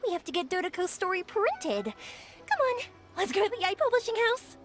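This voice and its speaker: An actress playing a warm-hearted, determined young woman in a video game, speaking in an expressive, earnest way. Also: extremely high pitch, normal speed, soft background music. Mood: encouraging. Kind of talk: English video game voice acting.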